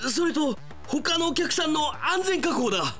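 An actor playing an earnest, excitable middle-aged park manager who talks to himself aloud in a Japanese radio drama. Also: male voice, very high-pitched, normal speed, faint music behind, exaggerated voice. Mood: alarmed. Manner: expressive, talking to himself aloud.

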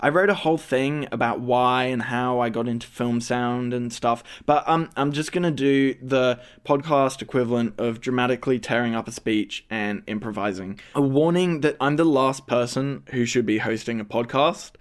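Young male podcast host with a slight stammer; hesitant, self-deprecating, mid-pitched. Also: moderate pace, few pauses, smooth. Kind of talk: scripted podcast introduction.

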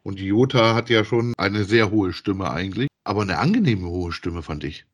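A man's voice: on the low side, expressive, moderate pace. A man co-hosting a podcast, chatting casually in a rambling, repetitive way as he reviews. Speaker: podcast co-host.

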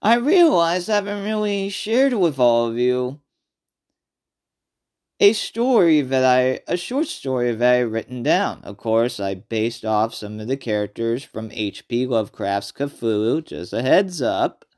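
A man hosting a horror podcast alone, talking casually and haltingly, often repeating and restarting his phrases. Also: medium pitch, animated, darkish tone. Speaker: podcast host and amateur horror writer. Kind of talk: casual solo podcast talk.

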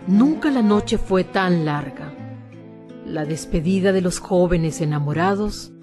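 A woman's voice: fairly low pitch, lively intonation, unhurried, music in the background. A woman narrating a scripted radio drama in a solemn, melodramatic manner.

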